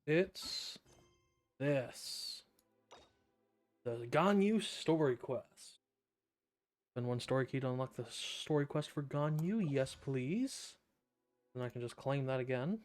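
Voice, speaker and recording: A laid-back man live-streams a video game in an unhurried drawl, thinking aloud and reading the on-screen text as he goes. His pitch sits in the middle, with faint game music underneath. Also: expressive. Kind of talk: casual live-stream commentary.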